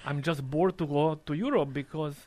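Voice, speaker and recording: A man's voice: fairly high pitch, moderate pace, warm tone, dry recording. A middle-aged man with a Croatian accent, a shop owner talking casually as a radio guest, in broken English with frequent laughs. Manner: broken English, laughs often, explains plainly. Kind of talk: radio interview chat.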